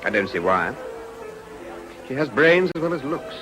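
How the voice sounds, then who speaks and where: A man playing an eager, ambitious upper-class gentleman in a period radio drama, confident and courtly. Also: medium pitch, very animated, normal speed, music in the background, natural voice.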